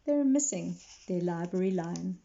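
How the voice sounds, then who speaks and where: A woman reading a children's story expressively, pausing to explain and put questions to young listeners. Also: on the low side, lively intonation, gravelly, dark tone, highs cut off, a little hiss or hum. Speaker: read-aloud storyteller for children.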